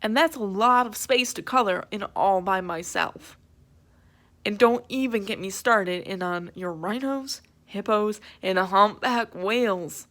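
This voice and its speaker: A woman's voice: medium pitch, a little slow, fairly bright tone, close to an everyday voice. A woman voicing a tired gray crayon in a children's storybook, weary and pleading. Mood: weary, complaining. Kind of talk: children's storybook read-aloud.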